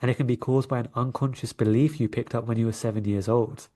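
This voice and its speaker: A man's voice: medium pitch, steady tone, fast, warm tone. A man from London with a London English accent, telling stories conversationally as an interview guest. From London, England. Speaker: interview guest, coach and energy healer.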